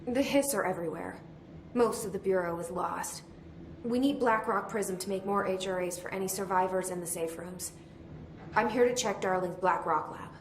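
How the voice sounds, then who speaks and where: An actress playing a resolute young woman who leads a bureau, speaking in a flat, controlled voice. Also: mid-range voice, normal speed, slight background noise, lightly acted. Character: resolute young woman director, guarded, direct. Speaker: director of a bureau.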